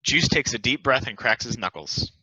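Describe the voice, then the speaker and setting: A man's voice: mid-range voice, animated, normal speed, pushed and bright, slightly rough, no echo, muffled recording. A man reading stage directions as scripted narration for a play's table read.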